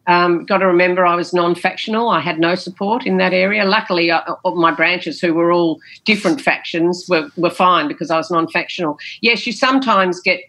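A woman's voice: lowish voice, a little fast, few pauses, fairly bright tone, rough recording. A woman, a former politician, answering interview questions in an emphatic, storytelling way with a big theatrical voice, often repeating phrases and saying "you know".

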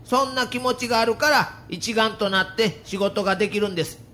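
A man's voice: high voice, fairly quick, bright, forceful tone, a little hiss or hum. A man playing a stubborn, middle-aged baseball manager with a Kansai accent, speaking firmly in an audio documentary drama. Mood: earnest, firm.

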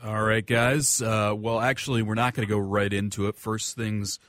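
A man's voice: lowish voice, little pitch movement, normal speed, fairly bright tone, no room sound, studio quality. A man with an American accent co-hosting a radio sports show in a casual, conversational style.

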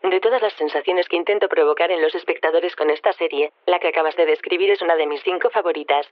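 An actress playing a polite, self-satisfied artificial intelligence, precise and formal, with a radio filter. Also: female voice, lowish voice, fast talker, no echo. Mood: polite, matter-of-fact. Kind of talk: fictional radio interview. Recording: dull, dry band-limited sound.

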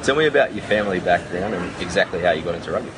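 A man's voice: low-pitched, expressive, racing, noisy background. A man hosting an interview, asking direct, chatty questions. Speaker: interviewer, host.

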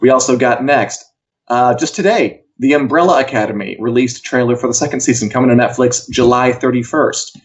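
A man's voice: mid-range voice, fairly quick. A man co-hosting a casual pop culture news chat in a wry, jokey, chatty way, over a video call. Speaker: co-host of a pop culture live show. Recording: dull, lo-fi sound.

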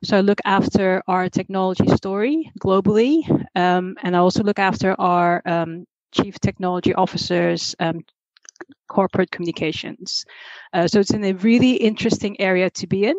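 A woman's voice: mid-range voice, moderate pace, darkish tone, no echo, muffled recording. A woman in her forties, raised in Singapore, talking as a podcast guest over a remote call, chatty and full of ums and restarts. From grew up in Singapore. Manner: chatty, many ums and repeated starts. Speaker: guest, communications manager. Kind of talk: podcast interview.

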